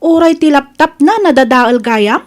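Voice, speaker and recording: An actress playing a supportive young Ilocano friend in a radio drama, conversational and given to exclaiming. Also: female voice, high voice, expressive, measured pace. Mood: surprised. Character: supportive young woman, the heroine's sympathetic friend.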